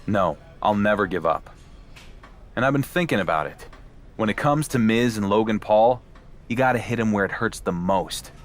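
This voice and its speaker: A man's voice: lowish voice, moderate pace, close to an everyday voice. A man playing a determined wrestling champion in a game cutscene, talking with dry, wry sarcasm over slight background noise. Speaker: wrestler character. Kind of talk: conversational video game cutscene.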